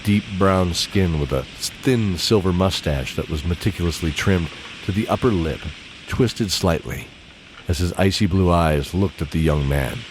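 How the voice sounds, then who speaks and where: Male narrator, deep voice, scripted fantasy storytelling over background ambience. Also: measured pace. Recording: clear background ambience noise.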